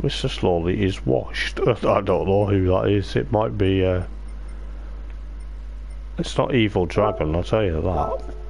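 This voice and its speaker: Guy with a lowish voice commentating races on stream, chatty, voice kinda strained and going, noisy recording. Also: a little slow, gravelly, long pauses, darkish tone. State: strained voice, starting to go, with coughing. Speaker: livestream host and race commentator. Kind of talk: casual sim racing livestream commentary.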